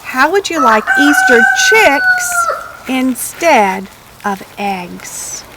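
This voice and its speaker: A woman voicing an excitable, fretful farm woman in a children's storybook, exclaiming dramatically. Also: high voice, extremely slow, noisy background, heavily acted. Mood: excited. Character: flustered, excitable farm woman, kindly toward her hen.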